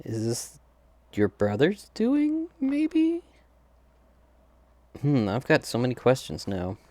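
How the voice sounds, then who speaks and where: Very low-voiced woman streaming a game. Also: wildly swooping pitch, slow pace, mellow, no echo. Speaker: gameplay streamer reacting. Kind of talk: casual chat, game commentary.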